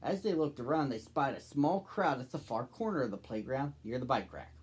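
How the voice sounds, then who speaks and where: A man's voice: medium pitch, lively intonation, a little fast, slightly rough. A man in his thirties hosting and reading a storybook aloud for children. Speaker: storybook reader, host.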